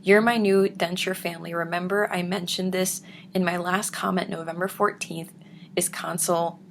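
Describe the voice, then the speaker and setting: Raspy, soft-spoken woman vlogger. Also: little pitch movement, moderate pace, slight background noise. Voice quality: deep, raspy voice. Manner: soft-spoken, drifts into whispers. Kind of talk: casual chat reading viewer comments.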